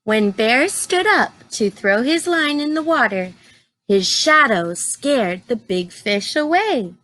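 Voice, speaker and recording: A woman reading a children's storybook aloud like a teacher, in an expressive, deliberate way, with simple asides and questions to her young listeners. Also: fairly high pitch, rough recording.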